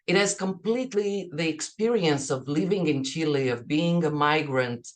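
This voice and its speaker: A woman's voice: sits low, moderate pace. A woman from Portugal with a Portuguese accent gives an online academic presentation as a researcher, reflective and often checking her points with "right?". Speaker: academic researcher, keynote speaker. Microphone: video call.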